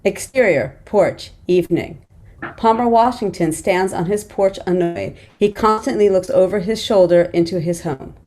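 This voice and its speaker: A woman's voice: medium pitch, moderate pace, darkish tone. A woman reading screenplay stage directions as scripted narration.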